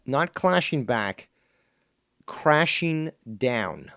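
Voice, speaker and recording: Casting: Spanish-first-language Canadian man, mid-pitch, heated, swearing, sarcastic host rebuttal. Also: big pitch swings, extremely slow, sharp tone. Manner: combative, profane, sarcastic. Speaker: streamer host. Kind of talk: heated commentary on a clip.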